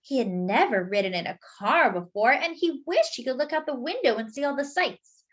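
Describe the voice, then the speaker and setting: A woman reads a children's storybook aloud in a high, lively voice. She swings her pitch expressively and adds little explanations for young listeners. The recording is a bit dull and lo-fi. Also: fairly quick. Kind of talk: animated picture-book read-aloud with asides.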